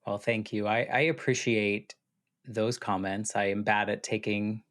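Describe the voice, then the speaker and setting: Curious American podcast host, lowish voice. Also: male voice, steady tone, unhurried. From United States. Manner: warm, curious questioning with fillers. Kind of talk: casual interview conversation.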